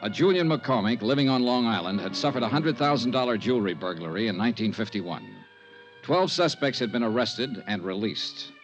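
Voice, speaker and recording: Man acting cool male investigator, lively intonation, terse, skeptical, matter-of-fact, 1950s radio drama, dull vintage broadcast. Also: mid-range voice, brisk, soft background music, lightly acted. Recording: muffled old radio broadcast.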